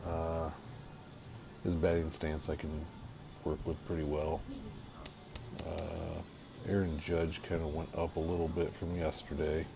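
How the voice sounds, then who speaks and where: Slow, deep-voiced man, slightly nasal. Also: completely flat delivery, over music. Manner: halting, many fillers, unhurried and low-key. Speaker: gaming video creator. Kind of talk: casual gameplay commentary. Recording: audible background noise.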